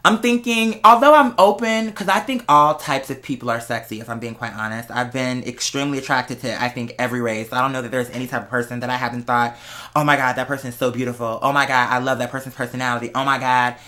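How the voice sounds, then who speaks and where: A man's voice: mid-range voice, pushed and bright. A young American man giving a casual, rambling monologue in a sassy, campy manner, peppered with slang. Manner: sassy, campy slang, often addressing listener as girl. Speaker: online show host.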